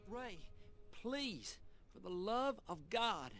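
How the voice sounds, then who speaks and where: A man's voice: high-pitched, very animated, takes it slowly, a bit bright, faint music behind, exaggerated voice. A man playing a pious, anguished young brother in a Western game, earnest and sermonizing in his delivery. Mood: desperate pleading. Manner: earnest, sermonizing, quotes scripture. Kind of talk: dramatic Western game narration and dialogue.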